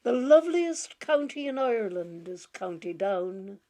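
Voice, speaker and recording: A woman's voice: medium pitch, big pitch swings, a little slow, mellow. A woman reading a story aloud, giving lines to a weary, dryly humorous old schoolmaster in close to her own voice. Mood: wry.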